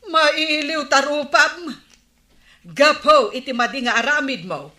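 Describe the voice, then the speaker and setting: In an echoey radio drama, a worried scold. The voice sits fairly high and bright, with an Ilocano accent and emphatic, nagging delivery. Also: female voice, takes it slowly, full character voice. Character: scolding, worried woman warning the village captain.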